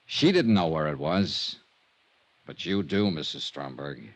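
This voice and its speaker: Wry, hard-boiled American radio detective, swooping delivery. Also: male voice, rumbling low, big pitch swings, heavily acted. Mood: hard, menacing. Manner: clipped, sardonic, dramatic pauses. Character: hard-boiled male insurance investigator, wry and relentless. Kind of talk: 1950s radio detective drama acting and narration. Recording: vintage radio recording with music.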